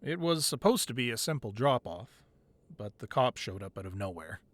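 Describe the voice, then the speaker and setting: A man playing a weary but devoted, protective crime boss boyfriend in an intimate ASMR roleplay, speaking softly and close, at times breathy. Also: medium pitch, sing-song, moderate pace, dry recording, close to an everyday voice. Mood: grave, troubled. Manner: soft, intimate, drifting into breathy whispers.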